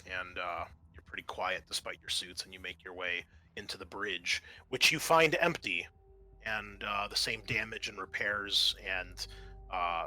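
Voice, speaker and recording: A man working as game master, narrating a roleplaying session in a halting, conversational way. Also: lowish voice, bright, forceful tone.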